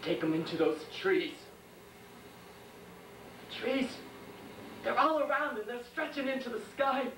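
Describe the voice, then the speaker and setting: A man playing a shaken young American World War II soldier in a dramatic stage monologue, speaking in plain, halting, intense colloquial speech. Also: very high voice, very animated, fairly bright tone, exaggerated voice. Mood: tender, haunted. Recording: echoey room, distant mic, faint noise.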